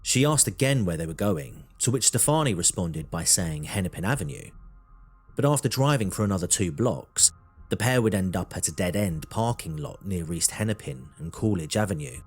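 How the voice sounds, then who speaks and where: A man narrating a scripted true crime documentary in a measured, dramatic storytelling manner. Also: low voice, lively intonation, husky, faint music behind.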